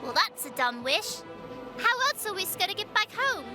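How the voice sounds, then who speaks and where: A woman's voice: extremely high pitch, very animated, normal speed, over music. A woman playing a spirited, blunt and talkative young child in an audio adventure drama. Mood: worried, blunt. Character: spirited, chatty young child on a pirate ship.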